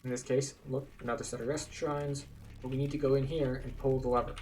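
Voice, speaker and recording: A man's voice: medium pitch, flat delivery, fairly quick, a little mellow, far from the mic. A man giving a casual, explanatory commentary as he guides viewers through a video game. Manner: explanatory, conversational.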